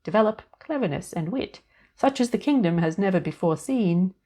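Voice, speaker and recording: A woman's voice: medium pitch, expressive, normal speed, warm tone, lightly acted. A woman voicing a tiny, cheerful, scatterbrained older fairy godmother in a storybook reading, breezy and chatty.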